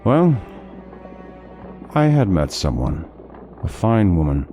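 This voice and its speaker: A man playing a courteous, learned, melancholy traveling scholar in a fantasy audio drama, speaking in measured, formal phrases with music behind him. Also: low-pitched, big pitch swings, warm tone, full character voice. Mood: somber, wistful. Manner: measured, formal, articulate storytelling.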